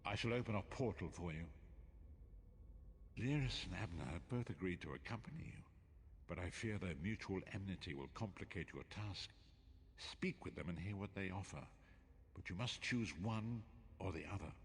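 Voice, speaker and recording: Deep, solemn old prophet, reverberant. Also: male voice, animated. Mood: grave, solemn. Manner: formal, measured, portentous. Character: solemn older male prophet mentor, grave and wise. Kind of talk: fantasy video game voice acting. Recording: long reverb, some background noise.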